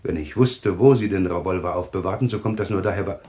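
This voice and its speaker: An actor playing a fatalistic male clairvoyant in a German radio thriller, speaking in a solemn, halting, insistent way. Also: deep voice, a little mellow, natural voice. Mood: calmly insistent. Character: fatalistic male clairvoyant foretelling his own death.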